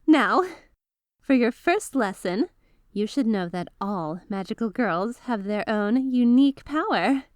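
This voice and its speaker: Woman acting a high-voiced, eager magical girl mentor. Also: animated, unhurried, no room sound. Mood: earnest, instructive. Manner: chatty, eager, teacherly, addresses listener directly. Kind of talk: ASMR roleplay, scripted character acting.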